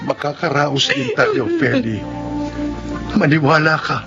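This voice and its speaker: A man's voice: on the high side, wildly swooping pitch, a little slow, gravelly, muffled recording, heavily acted. An actor playing a worried father who speaks steadily and reassures his wife in a radio drama. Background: music.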